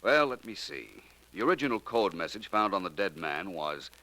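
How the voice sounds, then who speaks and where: An actor playing a suave, calmly superior gentleman detective in a 1940s radio drama, smooth and unhurried. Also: low voice, heavily acted, rough recording. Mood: explaining. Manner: smooth, unhurried, lightly condescending.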